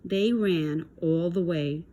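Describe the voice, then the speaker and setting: A woman reading a storybook aloud to children in an expressive style. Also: lowish voice, takes it slowly.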